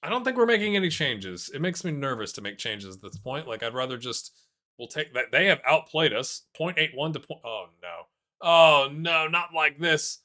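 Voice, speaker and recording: A man with a bright, forward voice talks through his football management game in a loose, chatty way. His pitch leaps around constantly, dropping into low mumbled asides and jumping up into excited shouts when something happens. He pokes fun at himself as he goes, and his voice sits a touch above average in pitch. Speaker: gaming YouTuber playing a football manager game. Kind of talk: casual live commentary over gameplay.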